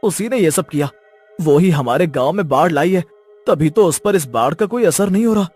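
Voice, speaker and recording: Man acting an alarmed, higher-voiced cartoon villager. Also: expressive, moderate pace, darkish tone, husky, soft background music. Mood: accusing. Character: alarmed, distrustful village men in a flood. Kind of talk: dramatic cartoon dialogue.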